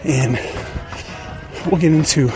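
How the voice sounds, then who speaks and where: A man's voice: a little high, slow pace. Man hosting a podcast, music behind him. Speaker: podcast host. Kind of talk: conversational podcast monologue and interviewing.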